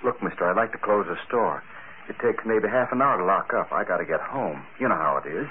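An actor playing a stubborn, plain-spoken small shop owner in an old radio drama. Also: male voice, low-pitched, lively intonation, brisk. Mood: polite, weary. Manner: ordinary working-man talk, indignant when pushed. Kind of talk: 1940s radio drama acting. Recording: tinny, noisy old radio recording.

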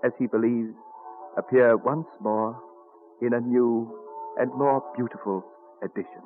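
A man's voice: mid-range voice, fairly even intonation, close to an everyday voice. An actor playing an elderly American statesman, weary and dryly witty, in an old radio drama style. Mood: solemn. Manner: deliberate, musing, dryly witty. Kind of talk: 1940s radio acting. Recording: tinny old radio recording, music behind.

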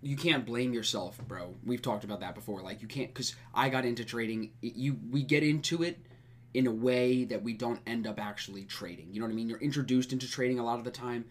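Man, podcast host, casual chat, friendly, loose fillers, middle pitch. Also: fairly quick. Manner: relaxed, friendly, uses filler words like "like".

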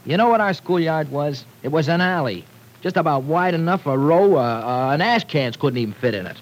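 An actor playing a streetwise young city gang member in a 1940s radio drama, talking tough, sarcastic and bitter in slangy speech. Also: male voice, on the high side, lively intonation, brisk, lightly acted, poor audio.

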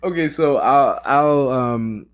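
An American man talking casually to camera in a rambling, halting way, often interrupting himself with "like" and "you know". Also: mid-range voice, smooth, no echo. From United States. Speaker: language learner vlogger.

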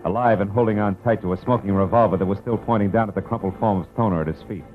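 A muffled vintage radio recording with music carries a low-voiced private eye acted by a man. He is tough and wry, tossing off clipped wisecracks in hard-boiled 1940s style. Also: racing, lightly acted. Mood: tense narration. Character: wry, tough male private detective narrating his case.

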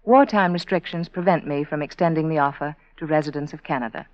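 A woman giving a scripted radio advertisement as the sponsor, measured and persuasive, speaking to listeners. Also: deep voice. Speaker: sponsor spokeswoman. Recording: dry, dull 1940s radio broadcast.

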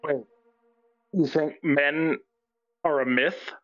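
A man's voice: on the high side, fairly even intonation, takes it slowly, fairly bright tone. A man playing a bewildered caller to a talk show, hesitant and confused, in a dramatic reading. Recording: dull lo-fi stream audio.

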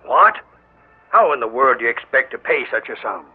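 A man's voice: mid-range voice, expressive, moderate pace, husky. An actor playing a stern businessman father who scolds his son, in 1940s radio drama style. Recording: narrow old radio recording.

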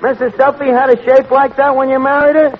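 An actor playing a brash, lovesick tavern manager with a New York accent who mangles fancy words, in 1940s radio comedy style. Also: male voice, very high-pitched, flat delivery, quick pace. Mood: chatty, smitten. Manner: malapropisms, self-important, slangy. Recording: noisy, muffled 1940s radio broadcast.

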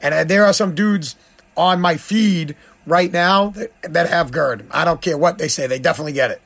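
A middle-aged man with a hoarse, worn-out voice, rambling bluntly as he hosts his podcast. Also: fairly high pitch, normal speed, a bit bright. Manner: rambling, blunt, self-deprecating. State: hoarse, lost voice. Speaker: podcast host. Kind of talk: casual solo podcast talk.

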